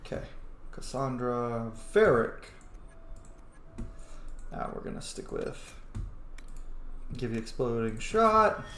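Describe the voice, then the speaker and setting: A man's voice: medium pitch, sing-song, slow pace, long pauses, a bit bright. A young man giving casual, rambling playthrough commentary on a video game, with joking asides. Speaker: streamer playing a video game. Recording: roomy echo, faint background noise.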